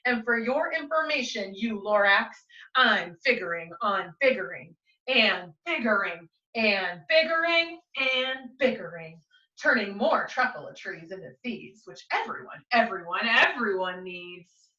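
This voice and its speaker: A woman's voice: medium pitch, lively intonation, measured pace, bright, forceful tone. A woman reading a storybook as a greedy, stubborn industrialist who justifies himself in emphatic rhyme. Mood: defiant. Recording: roomy, slightly dull, distant microphone.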